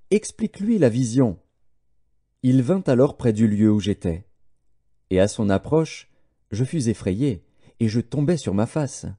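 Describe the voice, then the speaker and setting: A man narrating scripture as an audiobook, reading in a measured, solemn manner. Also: mid-range voice, mellow.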